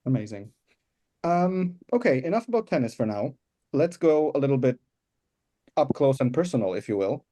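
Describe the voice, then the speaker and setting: A man with a dark, relaxed, mellow voice hosts a chatty interview. His pitch is average, and he asks his questions with playful formality. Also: normal speed, long pauses. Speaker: podcast host, interviewer.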